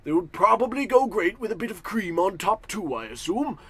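A man's voice: high-pitched, sing-song, a little fast, fairly bright tone, husky, exaggerated voice. A man acting a pompous, indignant and forgetful wealthy businessman in a blustering, theatrical old-time radio comedy style.

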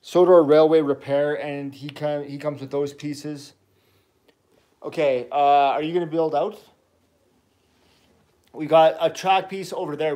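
Chatty dad hosting a toy livestream. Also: male voice, fairly high pitch, darkish tone. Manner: enthusiastic, chatty, rambling toy talk. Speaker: toy channel host, dad. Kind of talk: casual livestream chat with his child.

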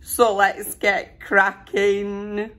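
A middle-aged woman talking casually into a camera at home. Her voice is hoarse and a bit rough from a recent illness. She rambles chattily and sounds excited and self-deprecating. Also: mid-range voice, extremely slow. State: hoarse, recovering from lost voice. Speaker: vlogger. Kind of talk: casual chat. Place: bedroom at home, indoors.

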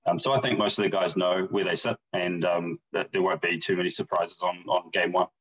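A man, a baseball team manager, answering questions on a video call in a measured way, often repeating words as he thinks. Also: low-pitched, fairly even intonation, fairly bright tone, husky. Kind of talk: casual interview on a video call. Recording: muffled video call audio.

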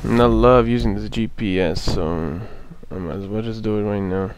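A man hosting a let's play, chatting casually over gameplay, hoarse from a sore throat, laughing often. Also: on the low side, normal speed.

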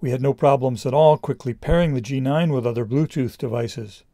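A middle-aged man reading a scripted product review in a measured, explanatory way. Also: medium pitch, dark tone, no echo, clean studio sound.

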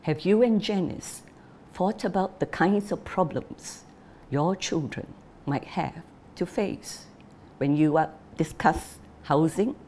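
Woman voicing an anxious old father's letter, slow, low, earnest. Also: mellow, slight background noise. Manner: earnest, measured letter reading. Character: worried old father writing to his son.

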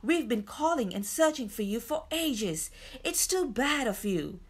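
A woman's voice: high-pitched, lively intonation, normal speed, pitched above the performer's own voice. A woman voicing an indignant, scolding boy in a children's storybook, urgent in manner.

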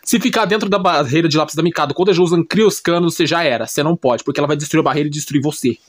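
A man's voice: fairly high pitch, rapid-fire. A man with a Brazilian accent giving a casual, unscripted explanation as a YouTuber, repeating himself and often checking that the listener follows. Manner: repetitive, often checks listener understanding with short tag questions. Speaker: YouTuber recapping anime and manga.

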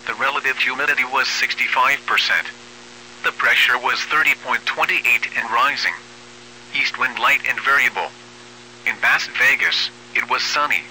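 Automated-sounding male weather announcer, text-to-speech, piercingly bright, measured and formal, faint radio hum. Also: mid-range voice, low-quality recording. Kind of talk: automated weather bulletin reading.

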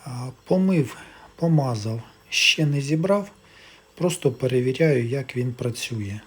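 A man giving a casual, step-by-step repair demonstration, thinking aloud in an unhurried, explanatory way. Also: mid-range voice, slight background noise. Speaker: electronics repair hobbyist narrating.

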